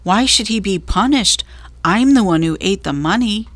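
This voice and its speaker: A woman's voice: medium pitch, lively intonation, moderate pace, dry recording, close to an everyday voice. A woman voicing an honest, resigned male cricket in a storybook, speaking plainly and earnestly. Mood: earnest, dutiful.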